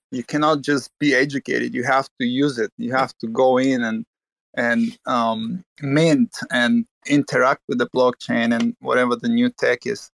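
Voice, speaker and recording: A man's voice: medium pitch, fairly bright tone, dry recording. A man from Bulgaria, earnest and full of restarts, talking in a casual online chat.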